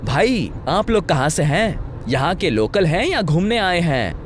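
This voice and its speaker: Man acting an animated, high-voiced irritable young driver. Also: unhurried, slight background noise. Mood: casual. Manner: blunt, challenging, exclaiming. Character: young male driver, irritable, confrontational, in horror tale. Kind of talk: audio horror story acting.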